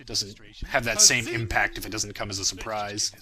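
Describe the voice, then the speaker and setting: A young man with a strikingly bright, sharp voice gives an informal commentary on his own work. He rambles reflectively and pokes fun at himself. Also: fairly low pitch, fairly even intonation, moderate pace. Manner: self-deprecating, rambling, reflective. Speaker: creator of a fan parody series, commentator.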